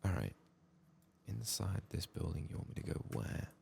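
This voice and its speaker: A man doing soft-spoken, whispered ASMR game commentary in a hushed, breathy, gravelly voice, murmuring without hurry. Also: low-pitched, big pitch swings, moderate pace, slight background noise. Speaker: ASMR game playthrough host.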